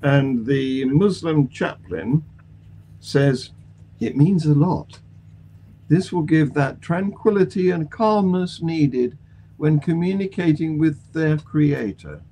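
Older male panel host, unhurried and halting, mellow tone, video call with faint hum. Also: a little high, poor audio. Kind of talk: presenting news items to a panel. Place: small room at home, indoors. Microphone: video call microphone. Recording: video call with light humming noise.

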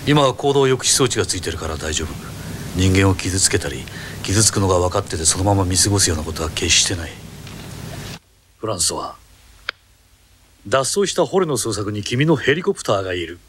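A man's voice: low-pitched, expressive, a little fast, long pauses, fairly bright tone, a little hiss or hum. A middle-aged man dubbing film characters, stern and commanding, often menacing. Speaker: voice actor dubbing film roles. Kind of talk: film dubbing, dramatic acting.

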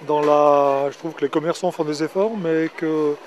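A man's voice: fairly high pitch, steady tone, normal speed, a little mellow, slight background noise. A middle-aged man answering a street interview at an outdoor market, speaking plainly with some hesitation. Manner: plain, hesitant, lists what is missing. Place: outdoor market under stall awnings. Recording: busy outdoor market crowd. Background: market crowd chatter.

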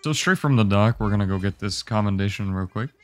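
A man's voice: lowish voice, fast, slight background noise. A young man giving a casual, easygoing walkthrough of a video game level, explaining each step. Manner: relaxed instructive, easygoing. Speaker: game guide host.

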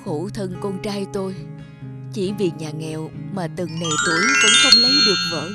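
A woman voicing a kindly, worried old peasant mother in an animated fairy tale. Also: fairly low pitch, moderate pace, lightly acted. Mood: sorrowful. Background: prominent music.

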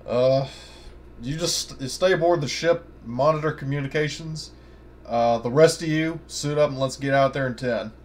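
A man's voice: medium pitch, moderate pace. A man talking casually as a player in an online roleplaying game. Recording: slightly noisy voice-chat recording.

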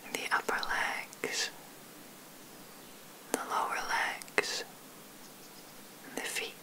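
A woman guiding an ASMR sleep meditation in a breathy whisper, soothing and gentle, unhurried with long pauses. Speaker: meditation guide, ASMR host.

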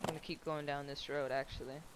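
A woman in her twenties with a low voice, streaming a game from home on a headset, chatting loosely with viewers, swearing and laughing. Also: flat delivery, quick pace, slight background noise. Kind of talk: casual chat while gaming.

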